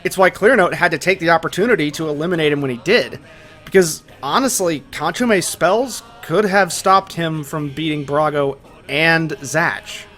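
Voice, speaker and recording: Male video essayist, slightly high voice, casual and conversational, scripted narration with music behind. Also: normal speed, a bit bright. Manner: casual, conversational, with colloquial asides.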